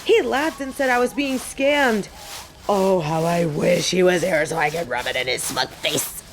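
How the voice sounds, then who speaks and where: A young woman playing an excitable, sassy biker and machine nerd on a date in a romantic audio roleplay. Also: measured pace, noisy background. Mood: gushing, excited. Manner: gushing and teasing, swinging between squeals and low deadpan. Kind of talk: romantic audio roleplay, scripted monologue to an unseen listener.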